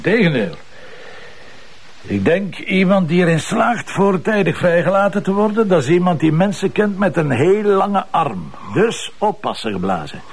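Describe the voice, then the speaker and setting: An actor playing a sardonic, self-assured police commissioner with a Flemish accent, mocking and probing in a naturalistic TV crime drama. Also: male voice, sits high, big pitch swings, moderate pace, slightly rough, heavily acted. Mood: calm, warning. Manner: mocking, probing, dryly ironic.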